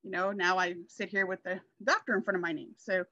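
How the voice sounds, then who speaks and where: A woman's voice: lowish voice, steady tone, brisk, pushed and bright, dry recording. A woman, chatting casually and telling personal stories as a guest on a video call. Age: 40s. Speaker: guest sharing her success story. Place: indoor room, video call. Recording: slightly dull video call audio.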